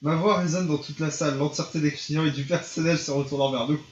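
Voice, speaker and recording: A man's voice: a little high, fairly even intonation, fast, slight background noise. A man streaming a visual novel, reading the text aloud and mixing in casual reactions, sometimes stumbling over words. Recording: roomy, dull sound with music behind.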